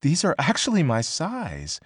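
A man's voice: on the high side, wildly swooping pitch, a little slow, breathy edge, no echo, studio quality, pitched above the performer's own voice, heavily acted. A man doing a hesitant, flustered young woman. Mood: amazed. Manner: hesitant, questioning. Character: unsure young woman, new at work, easily flustered. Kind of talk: audiobook character voice.

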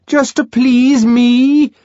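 A male narrator voicing a desperate young man who shouts and wheedles through a locked door, near tears. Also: extremely high pitch, flat delivery, extremely slow, no echo, voice pushed up, heavily acted. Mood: pleading shout. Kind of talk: audiobook character voice. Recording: dull lo-fi audiobook recording.

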